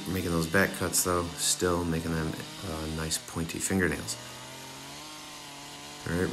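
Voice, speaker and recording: A man's voice: low voice, poor audio. A young man casually explaining chainsaw carving cuts step by step, as an instructor. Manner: relaxed, talks viewers through each cut step by step. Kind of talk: casual tutorial voice-over.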